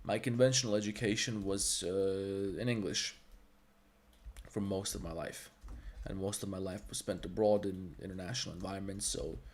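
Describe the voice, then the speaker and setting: Man, Balkan accent, native Serbo-Croatian speaker, lowish voice, blunt and profane, rambling livestream tutorial talk. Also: fairly even intonation, moderate pace, a little mellow. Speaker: 3D artist and streamer.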